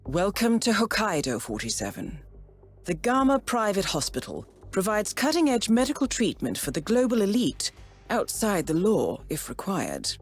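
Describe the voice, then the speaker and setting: Composed woman handler, expressive pitch. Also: breathy edge, faint music behind. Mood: composed briefing. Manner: measured, formal, articulate. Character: composed, steely female intelligence-agency handler, guarded and defiant. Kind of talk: video game briefing and cinematic dialogue.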